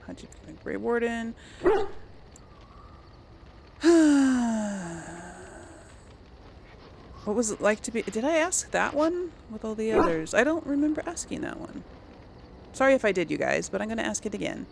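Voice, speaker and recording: A woman's voice: a little high, big pitch swings, takes it slowly, creaky, pauses often, slight background noise. A woman doing casual let's play commentary, musing aloud and hesitant as she decides things. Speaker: gaming video host.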